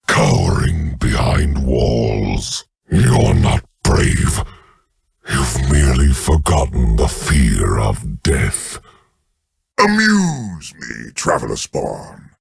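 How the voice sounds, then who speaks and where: Casting: gigantic pompous alien emperor, game villain, extremely deep, swooping theatrically, taunting and grandiose. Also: male voice, dry recording, clean studio sound, full character voice. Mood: contemptuous. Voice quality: deep, gravelly.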